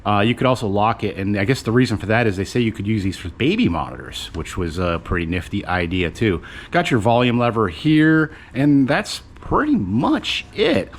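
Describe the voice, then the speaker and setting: A man's voice: fairly low pitch, big pitch swings, normal speed, few pauses. A man casually presenting and explaining a gadget in an unscripted, rambling, conversational way as a video host. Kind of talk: casual unscripted product demonstration.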